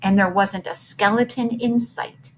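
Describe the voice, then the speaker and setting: A woman reading a children's book aloud as expressive scripted narration. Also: medium pitch, unhurried.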